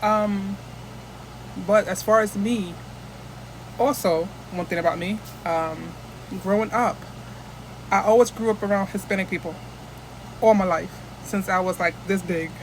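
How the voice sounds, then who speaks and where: A woman's voice: mid-range voice, unhurried, pauses often, slight background noise, low-quality recording. A woman from the United States chatting casually into her phone camera as a vlogger, rambling and restarting often.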